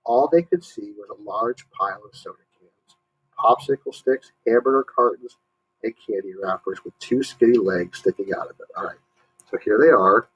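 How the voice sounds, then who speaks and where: A man reading a story aloud to young listeners, pausing to explain and ask questions. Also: fairly low pitch, husky, dull audio. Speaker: storybook reader, teacher.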